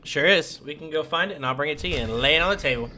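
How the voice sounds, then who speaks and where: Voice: mid-range voice, animated, racing, sharp tone, slight background noise. A streamer giving casual commentary while playing a video game, with keyboard clicks around the voice.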